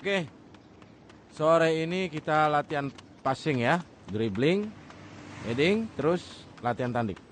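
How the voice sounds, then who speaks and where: An actor playing a stern but fair youth football coach in a radio drama, speaking with instructive authority. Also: male voice, fairly high pitch, animated, slow pace, long pauses, slight background noise.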